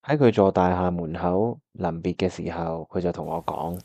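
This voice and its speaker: A man's voice: sits low, normal speed, warm tone, faint music behind. A man with a Hong Kong Cantonese accent acting an earnest, lovelorn young pianist who narrates his own story in a radio drama. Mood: confused, wistful. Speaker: voice actor, lead and narrator.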